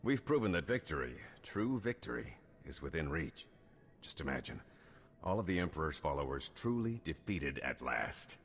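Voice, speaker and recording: An actor playing a stern, driven military colonel in a video game, speaking in a clipped, formal, commanding way. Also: male voice, a bass voice, very animated, normal speed, a bit bright, slightly rough, full character voice. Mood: proud. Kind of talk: video game cutscene acting. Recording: long hall reverb.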